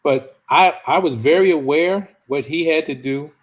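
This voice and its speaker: A man speaking as a panelist in an earnest conversation, deliberate and repeating himself for emphasis. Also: a little high. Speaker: discussion panelist.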